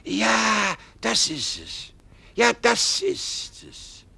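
Zerstreuter, pompöser König mit stark schwankender Stimme. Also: male voice, high voice, big pitch swings, gravelly, husky, exaggerated voice. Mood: pompous, halting. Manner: halting, pompous, muddled, swooping from mutter to shout. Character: confused, absent-minded, pompous king. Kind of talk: theatrical German radio play acting.

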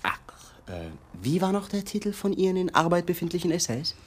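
An actor playing an accusatory young police detective in a German radio drama, mock-polite and insinuating. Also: male voice, high-pitched, sing-song, a little fast. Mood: smug, needling.

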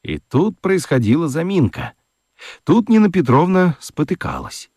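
A man's voice: on the high side, huge pitch swings, a little slow, a little mellow, breathy edge, no echo, studio quality. A man narrating a children's radio story expressively from a script.

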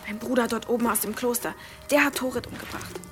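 A woman's voice: on the high side, fairly even intonation, fast talker, music in the background, natural voice. An actress playing a wounded, defiant young pagan village woman in a German radio play, intense and accusing in her delivery. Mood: bitter, accusing. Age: young adult.

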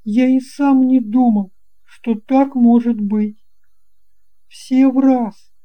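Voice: mid-range voice, fairly even intonation, slow pace, highs cut off, voice pushed up, lightly acted. A performer voicing an earnest young boy in a children's audio story, speaking in an emphatic, childlike and insistent way. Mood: upset, regretful. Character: earnest young boy recalling lost friends.

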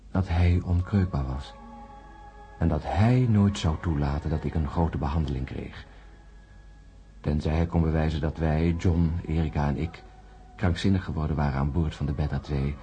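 A man playing a grim astronaut who narrates his ordeal in a radio drama, grave and measured. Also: very deep voice, mellow. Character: grim astronaut Peter recounting his ordeal and warning of doom. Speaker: narrator and main character.

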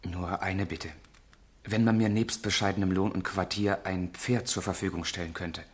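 An actor playing a polite, earnest, idealistic young gentleman in a period radio drama, speaking formally and with fervour. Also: sits low, fairly even intonation, normal speed, natural voice. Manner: courteous, formal, fervent.

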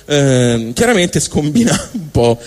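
A man from Tuscany with a Tuscan accent, performing a comic storytelling monologue in a halting, colloquial way, often interrupting himself. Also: a little high, big pitch swings, darkish tone. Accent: Tuscan Italian. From Tuscany, Italy. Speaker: stand-up monologist.

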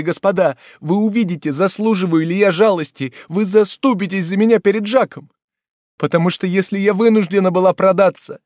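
A man reading a novel aloud, voicing a desperate young woman who pleads through tears in a high, shrill voice. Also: creaky, darkish tone, no room sound, studio quality. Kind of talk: audiobook character voice.

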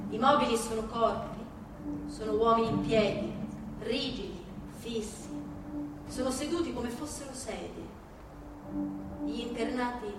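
Woman, theatrical narrator, deliberate declamation, raised pitch, very reverberant room, distant, clear musical backing. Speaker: stage narrator. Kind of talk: theatrical dramatic reading. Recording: echoey hall, distant, music behind.

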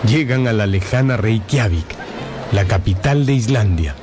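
Male radio-novel narrator over loud music. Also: fairly low pitch, expressive, normal speed.

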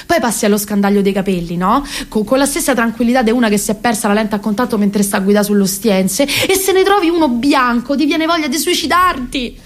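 A woman of about thirty with a Roman Italian accent performs a satirical monologue in an expressive, exasperated, ironic way. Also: a little high, a little fast, hardly any pauses. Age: around 30. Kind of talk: theatrical satirical monologue on radio.

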